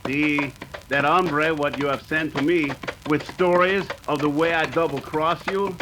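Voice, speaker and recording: A man acts out a scheming bandit boss in an old-time radio western. He speaks with a thick Mexican Spanish accent in a fairly high voice, bent on revenge. Clear crackling noise runs behind him. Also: steady tone, a little slow, lightly acted. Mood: scheming, vengeful. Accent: put-on Mexican Spanish. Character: vengeful, scheming Mexican outlaw gang leader. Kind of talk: 1930s western radio acting.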